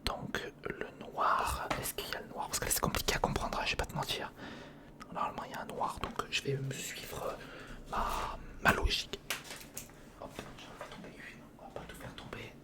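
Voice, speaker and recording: An ASMR host whispering breathily and close to the mic, thinking aloud to the listener through a step-by-step build. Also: moderate pace. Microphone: close recorder or studio condenser microphone.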